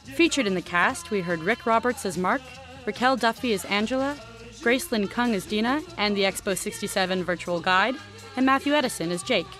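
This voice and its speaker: A woman reads closing credits in a measured, formal voice. Soft music plays beneath. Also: mid-range voice. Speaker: podcast credits announcer. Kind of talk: scripted announcement.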